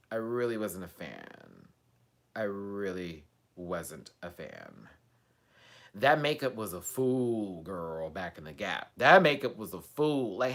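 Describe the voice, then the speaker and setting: A man's voice: lowish voice, sharp tone. A man from Texas hosting a live chat, giving sassy, profane, gossipy commentary on a reality TV show. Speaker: host.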